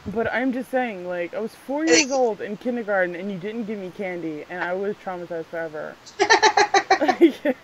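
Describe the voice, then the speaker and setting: A woman whose first language is Spanish chats casually in English at a middle pitch, animated and laughing easily, dropping swear words into her stories. A faint hiss sits under her voice. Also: moderate pace. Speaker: vlog co-host. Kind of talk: casual chat.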